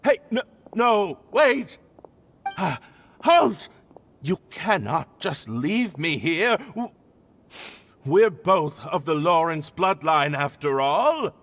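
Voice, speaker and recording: A man's voice: a little high, sing-song, slow, a bit bright, slight background noise, exaggerated voice. A flustered, stammering nobleman. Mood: pleading. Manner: stammering and pleading. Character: flustered young nobleman, proud but sheepish. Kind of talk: video game voice acting. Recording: game cutscene with background music.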